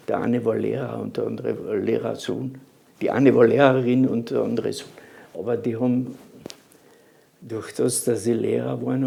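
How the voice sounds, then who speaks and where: An elderly man with a Carinthian accent remembers his past in an unhurried interview. His voice is dark and mellow, with a heavy gravelly fry. He often stops mid-phrase and starts over, and his first language is Slovene. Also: medium pitch. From Carinthia, Austria. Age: 80s. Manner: halting, with restarts and fillers. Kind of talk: reminiscing interview.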